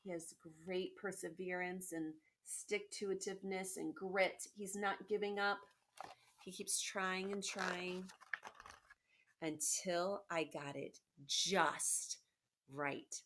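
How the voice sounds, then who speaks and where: A woman teacher reading aloud to children, expressively and encouragingly, pausing to explain and ask questions. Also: lowish voice, fairly even intonation, a bit bright. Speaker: elementary school teacher. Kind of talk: children's read-aloud with questions and asides to pupils. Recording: noticeable background noise, clicks.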